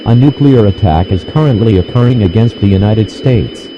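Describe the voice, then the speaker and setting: Emergency alert announcer, played by a man: impersonal, formal, measured, muffled broadcast with clear noise. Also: fairly low pitch, lively intonation, close to an everyday voice. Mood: grave, official. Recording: dull, noisy radio-style broadcast.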